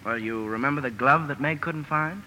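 An actor playing an eager, teasing young romantic, in the emphatic manner of old-time radio drama. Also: male voice, on the high side, moderate pace. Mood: romantic, confiding. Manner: animated, emphatic. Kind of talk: 1940s-50s radio drama acting. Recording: noisy vintage radio broadcast recording.

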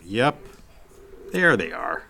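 An actor playing a casual, helpful electronics repair shop worker in a naturalistic audio drama. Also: male voice, low-pitched, very animated, takes it slowly, vocal fry, bright, forceful tone, slight background noise, heavily acted. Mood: curious. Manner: casual, obliging.

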